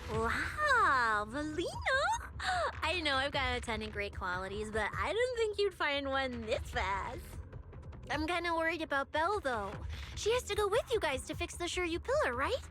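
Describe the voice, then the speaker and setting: A woman's voice: high voice, moderate pace, full character voice. An actress voicing an upbeat, cheerful young woman in a video game dub. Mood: bubbly. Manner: bubbly, animated. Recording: game audio over loud music.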